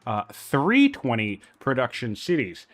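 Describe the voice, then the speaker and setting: A man's voice: medium pitch, huge pitch swings, measured pace. A man casting a strategy game tournament, working through numbers aloud with frequent "um" and "you know", still a little sniffly after a cold. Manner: analytical, thinking aloud with fillers. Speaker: tournament caster.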